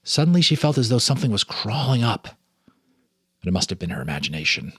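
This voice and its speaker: A man's voice: medium pitch, very animated, fast talker, a bit bright, husky, no echo, clean studio sound. A man reading a ghost story aloud as a storyteller, with a theatrical, suspenseful delivery. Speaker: storyteller, host.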